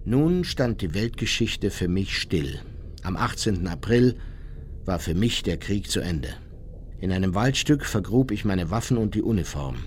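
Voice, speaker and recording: Unrepentant old-regime war criminal narrating his memoir for radio drama, low, measured, matter-of-fact. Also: animated, slight background noise. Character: unrepentant male Nazi war criminal recounting his life. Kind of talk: radio play first-person memoir narration.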